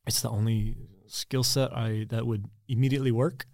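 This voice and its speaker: A relaxed American man in his thirties, speaking at an ordinary middle pitch. He rambles and circles back on his words like a chatty podcast guest. The sound is dry and close, with no room echo. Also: normal speed, gravelly. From Texas, USA. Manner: relaxed, rambling, repeats words while thinking. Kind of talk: casual conversation.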